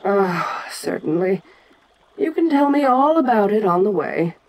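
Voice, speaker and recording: A woman's voice: mid-range voice, big pitch swings, unhurried, low-quality recording. A woman playing an ancient giant kaiju queen in an audio roleplay, proud and curious, with a domineering, stately manner. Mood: indulgent, commanding. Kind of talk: dramatic audio roleplay addressed to the listener.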